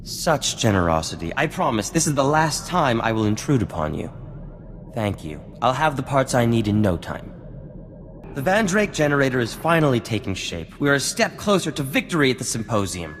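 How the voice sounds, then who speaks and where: A vain gentleman inventor from a video game, mid-pitched and very animated. His speech is courtly and florid, with eagerness and nerves beneath it. Long reverb and music surround the voice. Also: normal speed. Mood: smug, airy. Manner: florid, formal, theatrical. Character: vain, refined male scientist-inventor, eager and anxious. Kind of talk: video game cutscene acting.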